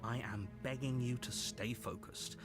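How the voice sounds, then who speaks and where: An actor playing a stern, insistent storyteller in a video game, narrating formally and emphatically to the player. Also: male voice, mid-range voice, measured pace, over music, close to an everyday voice. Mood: exasperated. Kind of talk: second-person game narration.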